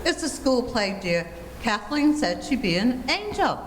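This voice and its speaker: A woman acts a patient radio-comedy mother, with a slightly high voice and some hall reverb around it. She soothes and placates, staying gently firm with her family. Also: sing-song, a little slow, slight background noise. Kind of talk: old-time radio family comedy acting. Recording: reverberant hall stage recording.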